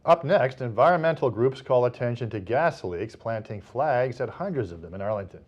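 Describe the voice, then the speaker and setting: A man's voice: medium pitch, expressive, normal speed, muffled recording. A man with an American accent reads scripted local news as an anchor.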